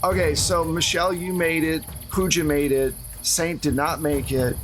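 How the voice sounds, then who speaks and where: A man's voice: a little high, steady tone, moderate pace, fairly bright tone, faint music behind. Casual man running a tabletop game. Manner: casual, conversational. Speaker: game master. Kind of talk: narrating a tabletop horror roleplaying game.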